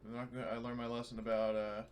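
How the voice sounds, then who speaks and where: A man's voice: medium pitch, completely flat delivery, fast talker. A sweary man talking casually as a player in a tabletop roleplaying game. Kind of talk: casual chat. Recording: faint background noise.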